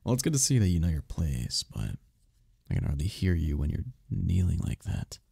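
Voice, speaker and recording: A man playing a seductive, imperious demon prince in an ASMR romance roleplay, teasing and possessive, with a husky, slow, theatrical purr. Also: sits low, sing-song, warm tone, dry recording, studio quality, heavily acted. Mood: teasing, commanding. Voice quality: gravelly, husky, often breathy whisper. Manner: slow, purring, theatrical, commanding.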